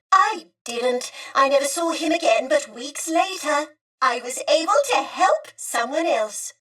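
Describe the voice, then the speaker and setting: Video game droid: high-pitched, genteel and talkative, centuries-old lady with theatrical, polite, wistful delivery. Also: a bit bright, distant mic. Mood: polite, reminiscing. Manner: prim, courteous, wistful, theatrical phrasing. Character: genteel, chatty female protocol droid, two centuries old. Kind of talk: scripted video game character acting.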